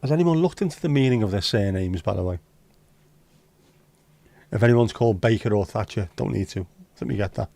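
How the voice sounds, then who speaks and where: A man's voice: fairly low pitch, fairly quick, creaky, pauses often, mellow, no echo. A man hosting a casual livestream, reading out chat messages and teasing viewers with jokey, lightly sweary banter. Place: home livestream setup.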